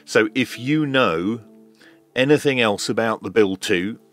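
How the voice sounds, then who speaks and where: A man giving a hands-on tech demonstration in a measured, hesitant way, as if thinking aloud. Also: medium pitch, fairly bright tone, soft background music. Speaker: host of a radio repair video.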